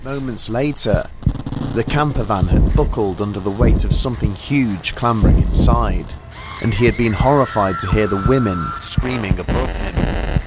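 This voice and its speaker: A man narrating a scripted horror storytelling trailer in an ominous, deliberate, dramatic manner. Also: on the low side, loud music behind the voice, low-quality recording. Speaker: podcast narrator.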